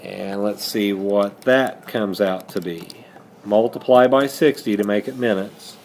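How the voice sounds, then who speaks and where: Male teacher, unhurried and mellow, walking students through problems with self-answered questions, some background noise. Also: lowish voice. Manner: asks questions and answers them himself. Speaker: high school math teacher. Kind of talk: step-by-step math lesson with questions and answers.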